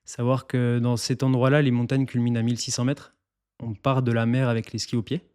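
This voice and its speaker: A man from eastern France with a mid-pitched, mellow voice answers interview questions as a mountain guide. He explains things calmly and steadily, pausing now and then to find his words. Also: clear, resonant tone. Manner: calm and explanatory, with some hesitations. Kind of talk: interview guest.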